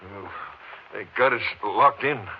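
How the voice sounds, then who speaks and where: An actor playing a folksy small-town motel owner in a 1950s radio western, with a rustic, somewhat hesitant way of talking. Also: male voice, fairly low pitch, takes it slowly. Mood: alarmed, fretful. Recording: hissy, muffled old 1950s radio recording.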